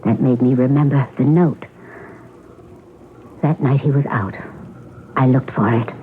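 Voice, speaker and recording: A poised aging stage actress, tinny old radio. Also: female voice, very deep voice, steady tone. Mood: sorrowful, confessing. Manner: theatrical, ladylike, measured. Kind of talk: radio drama acting. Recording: noisy, narrow-band vintage radio drama recording.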